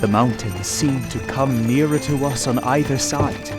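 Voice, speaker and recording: A man playing an earnest English lawyer's clerk, narrating his journal in measured, dramatic old-time radio style over music. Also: medium pitch, close to an everyday voice. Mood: ominous, tense. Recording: music and effects under voice.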